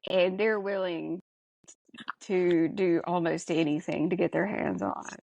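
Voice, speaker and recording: A woman's voice: lowish voice, fairly even intonation, a little slow, creaky, mellow, dry recording, muffled recording. A woman hosting a podcast, chatting casually in a blunt, sardonic manner. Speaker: podcast host. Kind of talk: casual chat.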